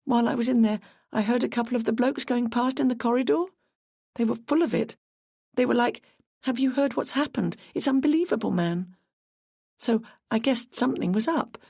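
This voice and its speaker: A woman's voice: normal speed, gravelly, warm tone, breathy edge, no room sound, clean studio sound. A woman voicing a timid, tearful young woman in her twenties who sounds anxious and gushing. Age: 20s. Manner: high, sniffly, anxious and gushing.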